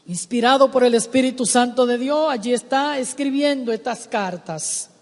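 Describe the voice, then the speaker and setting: A woman preaching a sermon in a church, emphatic, repeating her phrases and rising to shouts. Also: on the high side, normal speed. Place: church sanctuary. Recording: long hall reverb.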